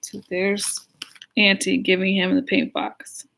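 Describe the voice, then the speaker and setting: Woman, American accent, medium pitch, casual children's storytime host, chatty, question-asking delivery. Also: monotone, slow, vocal fry, a bit bright, a little hiss or hum, low-quality recording. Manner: chatty, asks young listeners simple questions. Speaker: pastor reading a children's storybook.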